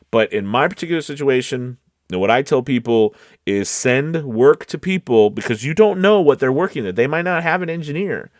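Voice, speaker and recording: A man's voice: medium pitch, dry recording, studio quality. A man talking casually and directly to viewers as a voice-over coach, stressing key words and often checking that they follow.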